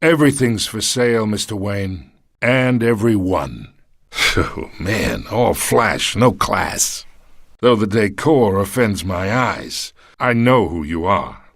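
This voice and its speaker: An actor playing a smug, menacing middle-aged crime boss in a video game, with a streetwise, slangy, taunting delivery. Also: male voice, fairly low pitch, expressive, takes it slowly, a bit bright, no echo, clean studio sound, exaggerated voice. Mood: smug, mocking.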